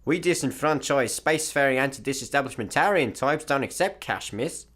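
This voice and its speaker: Man playing a lively, matey space layabout. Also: medium pitch, brisk. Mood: breezy, cheerful. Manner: breezy, mock-grandiose patter. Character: chirpy, wordy, matey male intergalactic layabout. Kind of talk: sci-fi comedy radio play.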